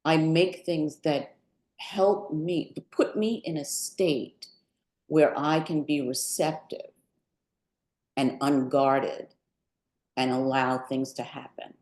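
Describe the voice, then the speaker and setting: An older woman with a low voice speaks slowly and thoughtfully, pausing mid-sentence as she reflects on her work. The recording is roomy and echoing. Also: fairly even intonation, darkish tone. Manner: measured, thoughtful, with deliberate pauses mid-sentence. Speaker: poet and artist being interviewed. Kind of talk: reflective talk about own creative work at a book event. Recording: roomy, echoing live event sound.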